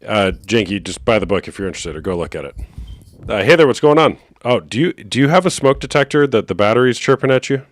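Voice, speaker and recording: A man hosting a live call-in show, talking in a relaxed, steady way and asking callers short, direct questions. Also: lowish voice, quick pace. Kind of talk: casual call-in talk.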